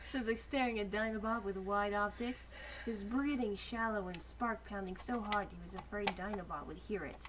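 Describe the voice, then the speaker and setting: A woman's voice: mid-range voice, moderate pace. A woman narrating an informal dramatic reading of a story, with casual asides. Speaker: reviewer reading aloud as narrator. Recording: noisy home recording with clicks.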